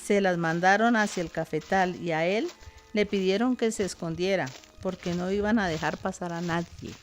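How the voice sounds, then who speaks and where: A woman's voice: lowish voice, slight background noise, close to an everyday voice. An older woman with a Colombian accent playing a grandmother who recounts her rural childhood in a radio drama. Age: over 60.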